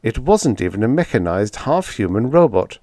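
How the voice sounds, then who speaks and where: A man's voice: lowish voice, very animated, moderate pace, mellow, no echo, clean studio sound. A man reading a scripted short story aloud as an audiobook narrator.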